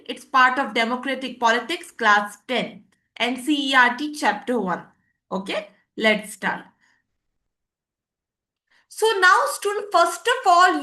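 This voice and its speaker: A woman's voice: sits high, bright, forceful tone. A woman teaching a live online class with an Indian English accent, repeating points and checking in with students often. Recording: echoey room.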